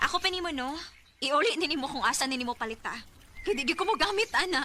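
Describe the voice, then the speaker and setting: Radio drama, dismissive, practical Cebuano wife: high voice, noisy recording. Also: female voice, expressive, fast. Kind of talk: Bisaya radio drama acting.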